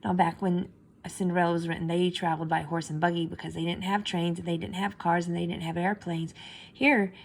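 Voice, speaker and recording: A woman's voice: on the low side, flat delivery, a little fast, vocal fry. A woman teacher reading a story aloud and stopping to explain it simply to students.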